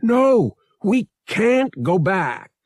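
Man playing a gruff, gravelly space smuggler pilot. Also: lowish voice, very animated, a little slow, darkish tone, dry recording, clean studio sound, exaggerated voice. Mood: alarmed, frustrated. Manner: gruff, exasperated, blunt. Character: brash, sardonic male space smuggler pilot.

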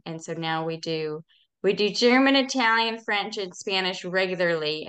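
A woman speaking as an interview guest in casual, halting conversation, often correcting herself as she explains. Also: fairly low pitch, fairly bright tone. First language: English. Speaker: podcast guest, language club organizer.

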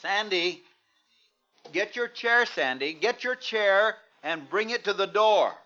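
Old radio drama a stressed american dad, sharp urgent voice, impatient but coaxing, dull hissy sound. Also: male voice, sits high, lively intonation, takes it slowly, faint music behind, full character voice. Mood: coaxing, insistent. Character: tense young father trying to stay calm. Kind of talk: mid-century American radio drama acting. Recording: dull, noisy old radio recording with clicks.

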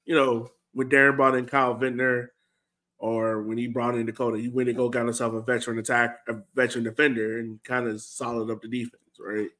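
A man's voice: mid-range voice, level tone, brisk, fairly bright tone. A man co-hosting a soccer fan show, talking casually and putting questions out for discussion. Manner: conversational, poses questions to co-host. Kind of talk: casual sports chat.

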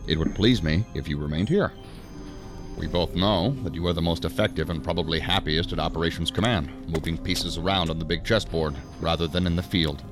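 An actor playing a stern, dry assistant director in a sci-fi audio drama, formal, measured and authoritative. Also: male voice, deep voice, over music, close to an everyday voice. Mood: stern, controlled. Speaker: senior law-enforcement official.

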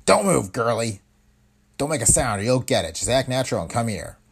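A man voicing a rough, menacing gunman who barks orders. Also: medium pitch, expressive, brisk. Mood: threatening. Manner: barked commands.

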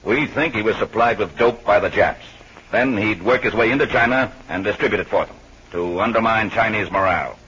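A man's voice: lowish voice, fairly quick, a bit bright, husky. An actor playing a hard-nosed, suspicious intelligence captain in a radio drama, stern and clipped as he questions people. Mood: accusing. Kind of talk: old-time radio drama acting. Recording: muffled, noisy old radio recording.

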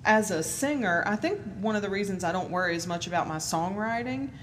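A woman singer in casual conversation, agreeable and quick to laugh, saying yeah often. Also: mid-range voice, animated, fairly bright tone. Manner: agreeable, many yeahs and small laughs. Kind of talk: casual interview chat. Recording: noisy background.

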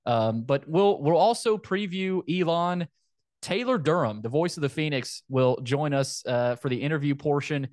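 A man's voice: fairly high pitch, expressive, normal speed, a little mellow, dry recording, studio quality. A man hosting a sports podcast in a casual, conversational way, often repeating phrases for emphasis.

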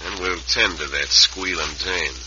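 A man's voice: low voice, measured pace, bright, forceful tone, exaggerated voice. A man playing a cold-blooded, gloating outlaw boss with an American Western accent and menacing folksy talk, in an old radio western. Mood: callous. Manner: menacing, folksy rough grammar. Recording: dull, noisy old radio recording.

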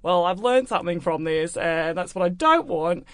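A woman's voice: fairly low pitch, expressive, normal speed. Chatty Australian woman telling a story. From Melbourne, Australia. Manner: chatty, filler-heavy, laughing through her story. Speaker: guest. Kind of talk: casual storytelling chat.

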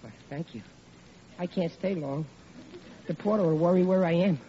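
An actor playing an eager, bumbling newlywed husband who stammers excitably in an old-time radio comedy. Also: male voice, fairly high pitch, moderate pace, close to an everyday voice. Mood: bashful, tender. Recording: muffled, noisy old radio broadcast.

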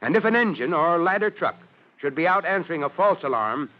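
An actor playing a stern, avuncular fire chief giving children an earnest, moralizing scripted radio talk. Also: male voice, on the high side, animated, fairly quick, close to an everyday voice. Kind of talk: old-time radio scripted address. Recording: muffled old radio recording.

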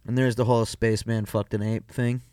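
A man's voice: mid-range voice, flat delivery, brisk, mellow, clean tone, dry recording, clean studio sound. A young man co-hosting a podcast, reading listener questions and joking around in loose, profane chat. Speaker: podcast co-host.

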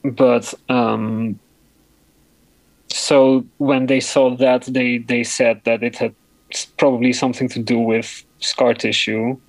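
Halting man with a flat, deep voice. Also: no echo, rough recording. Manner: halting, searching for words. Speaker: interview guest. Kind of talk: personal account in conversation.

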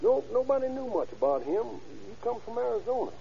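A man's voice: extremely high pitch, sing-song, fairly quick, vocal fry, mellow, full character voice. An actor playing an easygoing local man who answers questions in a casual, colloquial way, in an old radio crime drama. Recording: muffled, noisy vintage radio with hum.

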